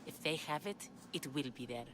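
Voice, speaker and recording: A woman's voice: deep voice, lively intonation, normal speed, noisy background. An actress playing a lovesick, desperate woman of ancient Greece in a video game, with an earnest, pleading delivery. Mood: earnest, explaining. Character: lovesick, desperate ancient Greek woman seeking her lover. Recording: music behind the dialogue.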